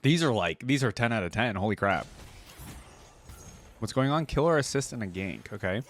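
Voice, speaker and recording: A man's voice: medium pitch, expressive, moderate pace, slight background noise. A man giving casual, slangy reaction commentary as a video host, with appreciative interjections.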